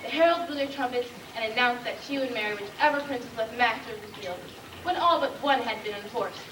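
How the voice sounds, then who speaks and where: A woman's voice: on the high side, fast talker, natural voice. A woman playing a demanding, short-tempered storybook queen in a stage comedy, haughty and curt. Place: school stage, live play performance. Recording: distant, noisy, echoey room recording of play.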